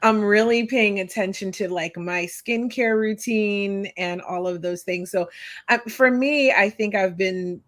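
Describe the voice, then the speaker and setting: A woman's voice: medium pitch, a little slow, fairly bright tone. A woman, probably in her thirties, chatting casually on a podcast, laughing often and poking fun at herself. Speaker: podcast co-host.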